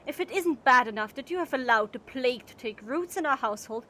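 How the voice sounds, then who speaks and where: A woman playing a proud, stern gentleman's wife in a period audio drama, speaking in a clipped, reproachful way. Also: high-pitched, expressive, fast talker. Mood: indignant.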